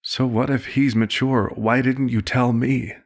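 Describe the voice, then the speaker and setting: A man playing a concerned, paternal vampire doctor in an ASMR roleplay, speaking formally and deliberately in a husky voice. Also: medium pitch, fairly even intonation. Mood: troubled, questioning.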